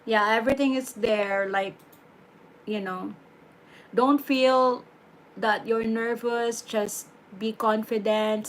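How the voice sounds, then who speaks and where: A woman with a Filipino accent hosting a casual livestream, chatty and relaxed, giving advice and slipping in Tagalog words. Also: fairly high pitch, slight background noise. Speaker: livestream host.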